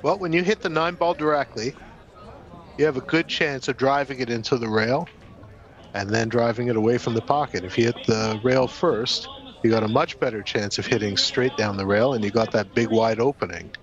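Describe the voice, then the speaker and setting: A man co-commentates on a pool match, calmly talking through shots in an easygoing manner. His voice sits mid-range. The sound is dull and lo-fi. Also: mid-range voice, normal speed. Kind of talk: casual commentary chat.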